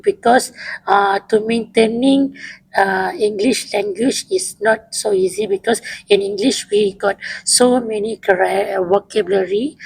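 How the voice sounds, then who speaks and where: A woman's voice: medium pitch, creaky, darkish tone, breathy edge, dry recording, poor audio. A woman whose first language is Mandarin, talking casually in simple, broken English with many fillers. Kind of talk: casual podcast chat.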